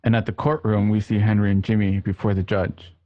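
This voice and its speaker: A man hosting and narrating an online radio play, with a somewhat halting delivery. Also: on the low side, little pitch movement, no room sound, dull audio. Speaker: host and scene narrator. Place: home, remote online recording.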